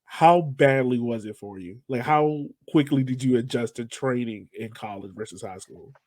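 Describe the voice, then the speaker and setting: A man's voice: medium pitch, normal speed, a little mellow, no room sound. A man from Virginia with an American accent, interviewing a guest as a podcast host in a casual, conversational style. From Virginia, United States.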